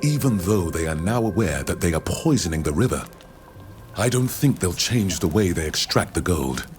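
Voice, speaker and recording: A man playing a courteous, learned foreign scholar in a video game, speaking in measured, formal, precise phrases. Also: low voice, sing-song, darkish tone, faint music behind. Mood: courteous, concerned. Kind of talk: video game dialogue acting.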